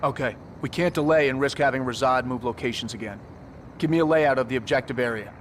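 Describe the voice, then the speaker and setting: An actor playing a decisive special forces commander in a video game, terse and commanding. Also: male voice, medium pitch, fast, lightly acted. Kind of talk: video game cutscene acting. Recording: loud vehicle and outdoor noise.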